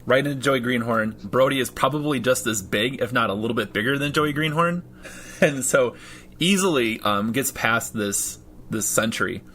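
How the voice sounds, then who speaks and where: A man narrating a tabletop roleplaying game as game master in an informal, halting, amused way. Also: mid-range voice, fairly bright tone, a little hiss or hum.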